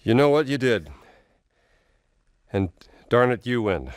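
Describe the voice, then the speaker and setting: An actor playing an excitable, unhinged, obsessive man in a theatrical video game role. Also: mid-range voice, a little slow, dry recording, faint music behind. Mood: conspiratorial.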